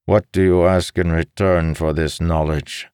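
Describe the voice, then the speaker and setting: A man's voice: low-pitched, level tone, measured pace, darkish tone, smooth, no echo, clean studio sound, pitched above the performer's own voice. A man voicing a suspicious, ruthless king in an audiobook, terse and demanding. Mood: wary. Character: suspicious, commanding male king, greedy and ruthless. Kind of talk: dramatic audiobook character reading.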